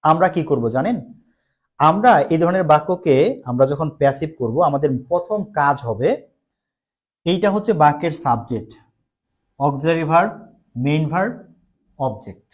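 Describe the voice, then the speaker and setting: Male grammar tutor, Bangladeshi accent, somewhat high-pitched, explains in repeated small steps and often checks understanding. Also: animated, moderate pace, pauses often. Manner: repetitive step-by-step explaining with frequent check questions; mixes in English terms. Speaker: English grammar teacher. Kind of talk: live online grammar lesson.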